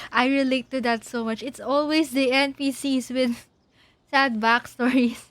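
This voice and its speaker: A woman streaming a game with casual, giggly, halting commentary that often repeats words. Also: sits high, fairly even intonation, dry recording.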